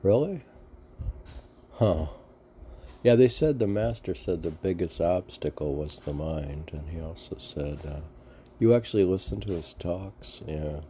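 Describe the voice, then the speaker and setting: A man with a low, deep voice reads verse and comments on it informally. He speaks slowly and haltingly, musing and answering his own questions. There is a faint background noise. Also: animated, dark tone. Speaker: reader and commentator of mystic poetry. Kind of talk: reading poems aloud with rambling informal commentary.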